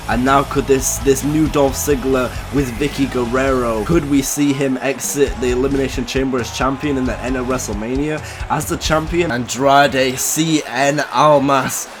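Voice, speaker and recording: A man commentating his own wrestling video game, losing his voice, getting hyped and shouting at the big moves. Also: medium pitch, noisy background. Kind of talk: excited play-by-play commentary.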